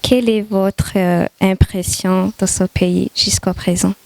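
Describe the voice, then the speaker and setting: A woman's voice: mid-range voice, fairly even intonation, takes it slowly, vocal fry, darkish tone, dry recording. A woman interviewer putting prepared questions to her guest.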